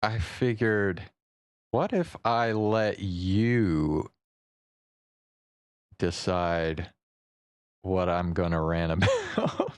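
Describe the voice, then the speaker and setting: A man livestreaming in a casual, halting, rambling way, swearing offhandedly as he chats. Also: low-pitched, huge pitch swings, no echo. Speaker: live streamer.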